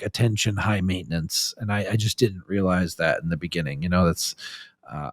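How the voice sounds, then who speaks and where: Man, mid-pitched voice, podcast guest, candid casual chat, rambling delivery, dry recording. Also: dry recording.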